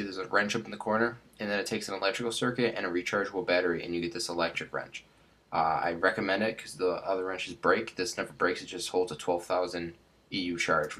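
A man's voice: low voice, fairly bright tone. A young man with a stuffed-up nose hosting a casual game tutorial, rambling with many fillers and restarts. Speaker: Minecraft tutorial host.

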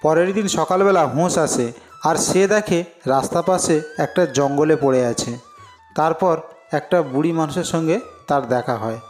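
A man's voice: on the high side, normal speed, darkish tone, faint music behind. A man narrating a scripted cartoon story as its storyteller.

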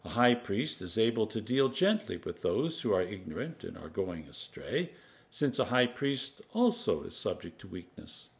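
A man in his sixties, an Anglican priest, reading scripture aloud in a measured, solemn, emphatic manner. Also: mid-range voice. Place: home kitchen, indoors.

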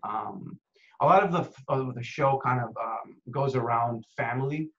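A man's voice: mid-range voice, moderate pace. A man in his thirties from Costa Rica, an actor chatting casually in an interview over a video call, laid-back and full of "dude" and "man". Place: home room with window, indoors. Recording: dull lo-fi video call audio.

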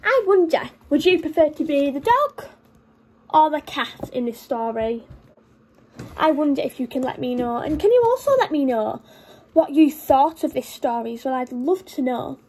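A woman in her twenties reading a story to young children in an expressive, child-directed nursery teacher's manner. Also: high-pitched, normal speed, a little hiss or hum, poor audio. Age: 20s. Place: small furnished room at home, indoors.

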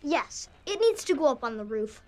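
A man's voice: very high voice, sing-song, normal speed. A young male actor playing an earnest, precocious science-obsessed boy in a sitcom, speaking precisely and formally. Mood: eager explaining. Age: child. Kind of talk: sitcom acting.

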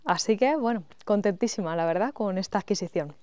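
A woman's voice: medium pitch, expressive, brisk, dark tone, no room sound. A young woman, presenting a product in a casual way outdoors on a lapel mic. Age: young adult. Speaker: video presenter. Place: outdoors beside a village garden wall. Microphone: clip-on lapel mic.

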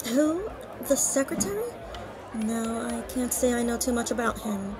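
A woman's voice: on the high side, expressive, normal speed, music in the background, natural voice. A woman playing a middle-aged, wealthy, gushing and condescending society wife in a fiction podcast. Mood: haughty, dismissive. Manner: gushing, condescending, self-absorbed. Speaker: supporting character. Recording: busy crowd chatter behind.

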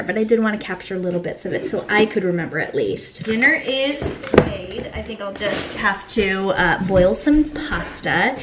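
A woman's voice: mid-range voice, fairly bright tone, a little hiss or hum. A young woman chatting upbeat and enthusiastic to a handheld camera as she cooks and fixes things around her house. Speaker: homemaking vlogger. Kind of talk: casual chat to camera. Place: home kitchen and small bathroom. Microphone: handheld camera at arm's length.

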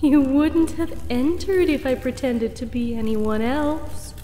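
Woman as a slow, echoing, sinister bug-queen schoolteacher. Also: high-pitched, dark tone, a little hiss or hum. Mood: calmly menacing. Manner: slow, sweetly menacing, measured. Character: sinister shapeshifting bug queen posing as sweet schoolteacher mare. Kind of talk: audio fan-fiction drama. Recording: echoey hall reverb, music behind. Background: background music.

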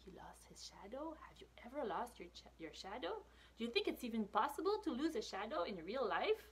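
A woman's voice: fairly high pitch, brisk, slightly rough. A woman in her thirties reading a picture book aloud to children, expressively, with casual asides. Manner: expressive, with whispered asides and questions to listeners. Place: small indoor room with brick wall.

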